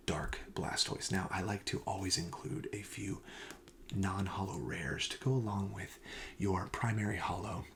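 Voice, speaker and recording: ASMR clerk role, male: deep, hushed and crackly, gently explaining the goods to a customer. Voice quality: soft, breathy, often near a whisper. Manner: gentle, explanatory sales patter. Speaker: card shop clerk in an ASMR roleplay. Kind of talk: soft-spoken ASMR roleplay.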